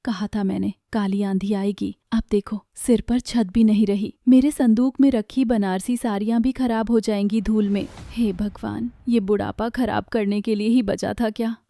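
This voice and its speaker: A woman's voice: medium pitch, fairly even intonation, moderate pace, hardly any pauses, mellow, soft background music, close to an everyday voice. A woman voicing a superstitious, self-pitying old village aunt in a cartoon story, complaining dramatically. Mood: woeful, complaining. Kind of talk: animated moral story dialogue.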